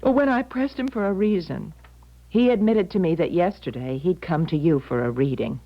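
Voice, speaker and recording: A woman's voice: fairly low pitch, moderate pace. An actress playing an anxious, insistent middle-aged mother in a 1970s radio drama, agitated and emphatic. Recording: dull lo-fi 1970s radio broadcast.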